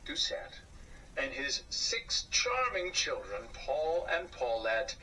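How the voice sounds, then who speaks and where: A man reading a children's story aloud as host, with an expressive, theatrical, drawn-out delivery. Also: mid-range voice. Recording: slightly distant mic, faint noise.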